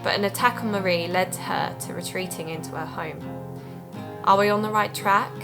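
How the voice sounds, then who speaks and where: An actress playing an earnest woman amateur sleuth who hosts an investigative podcast, narrating calmly. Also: mid-range voice, normal speed, over music, close to an everyday voice. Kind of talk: audio drama narration and dialogue.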